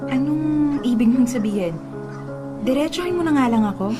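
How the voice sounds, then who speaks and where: A young Filipino-accented woman, a steadfast new girlfriend. Her delivery is earnest and measured, in radio drama style, over a music bed. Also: high voice, expressive. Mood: guarded. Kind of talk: radio drama acting in mixed Tagalog and English.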